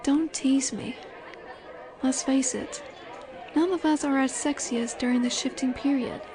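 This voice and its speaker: A woman's voice: sits high, steady tone. A woman playing a confident, flirtatious werewolf alpha in a roleplay audio drama, teasing and seductive in her delivery. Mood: coy, teasing. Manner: teasing, seductive, slow and assured. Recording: bar chatter behind the voice. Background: bar ambience with crowd murmur.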